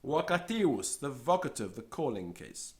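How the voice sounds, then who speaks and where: A man's voice: medium pitch, very animated, normal speed. A man teaching a Latin lesson, who says each Latin word, repeats it and then explains it in English. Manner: acts out little scenes in a raised voice. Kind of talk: slow spoken language lesson.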